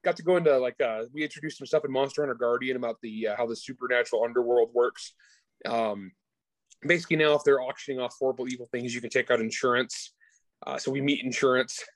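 A man's voice: medium pitch, dry recording. A man who is an author being interviewed, chatting informally and with enthusiasm about the work. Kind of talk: casual interview chat about their own books.

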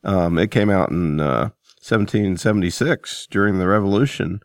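Low-voiced man hosting, dry sound. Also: animated, moderate pace, no room sound. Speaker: podcast host. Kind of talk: casual interview talk.

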